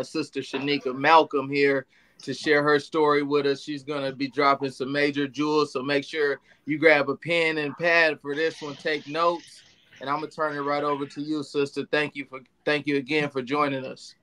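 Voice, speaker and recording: A man co-hosts a podcast in a loose, laid-back way. His voice sits a bit high, and he hedges and restarts as he talks. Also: little pitch movement, moderate pace, sharp tone, slight background noise. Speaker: podcast co-host. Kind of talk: casual podcast chat.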